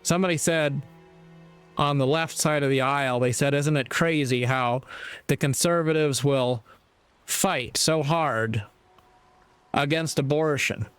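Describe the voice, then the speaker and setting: A man giving a reflective, sermon-like monologue in a deliberate, measured way, pausing between short phrases. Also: fairly high pitch, clear, resonant tone, dry recording, faint music behind. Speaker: commentator giving a moral talk.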